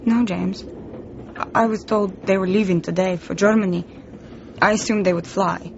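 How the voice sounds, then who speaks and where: A woman's voice: medium pitch, steady tone, moderate pace, vocal fry, close to an everyday voice. An actress playing a young Russian woman spy in a radio drama, tender but defiant and secretive, with a Russian accent. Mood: defensive. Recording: dull lo-fi recording, background noise.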